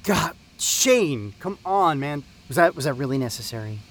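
A man's voice: a little high, sing-song, normal speed. A man playing an easygoing young camp counselor in an audio drama, casual, upbeat and folksy. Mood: exasperated.

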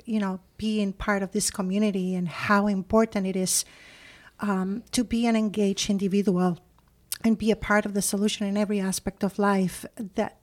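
Earnest woman guest. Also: medium pitch. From Puerto Rico. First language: Spanish. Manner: earnest and effusive. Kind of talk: heartfelt interview answer.

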